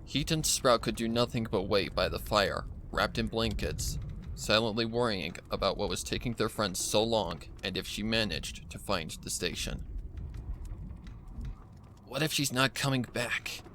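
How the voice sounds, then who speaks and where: A man reading a scripted horror story as narrator in a deliberate storytelling manner. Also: lowish voice, fairly bright tone, a little hiss or hum.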